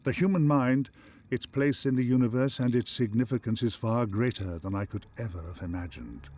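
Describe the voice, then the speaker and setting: A man playing an ancient, wistful ascended machine mind, speaking in a grandiose, measured and philosophical way. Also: medium pitch, darkish tone, lightly acted. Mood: grandiose, brooding. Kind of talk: theatrical scripted game voice acting.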